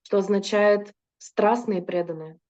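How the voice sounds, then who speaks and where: A woman's voice: medium pitch, steady tone, unhurried, a little mellow, lo-fi sound. A woman interpreting a lecture consecutively, fluent but with frequent filler hesitations.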